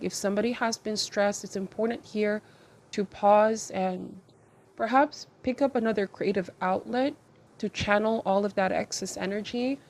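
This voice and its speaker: A woman with a mid-pitched voice reads tarot cards for her viewers. She talks in short, measured phrases. Her manner is reflective and advising, as she interprets each card and offers guidance. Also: a little mellow, a little hiss or hum. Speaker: tarot reader and channel host. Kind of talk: intuitive tarot reading addressed to viewers.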